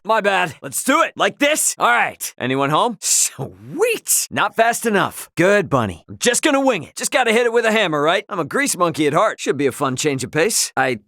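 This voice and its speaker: An actor with an American accent playing a brash, easygoing spacefaring hero in a video game, with a cocky, slangy, joking delivery. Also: male voice, sits high, sing-song, moderate pace, pushed and bright, dry recording, clean studio sound, heavily acted. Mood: cocky, playful. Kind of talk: video game voice lines, battle barks and chatter.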